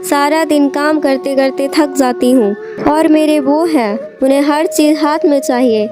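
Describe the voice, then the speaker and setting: A woman's voice: high-pitched, fairly even intonation, unhurried, music in the background. A woman voicing a work-shy, scheming village housewife in a moral-story cartoon, with a dramatic, complaining manner. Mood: chatty, conspiring. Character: potter's work-shy, complaining, scheming wife and her friend.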